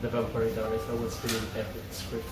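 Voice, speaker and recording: A man reading a script and its stage directions aloud as an amateur table read host, in a halting, loosely improvised way. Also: fairly low pitch, monotone, heavy background noise. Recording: echoey room, distant mic, music behind.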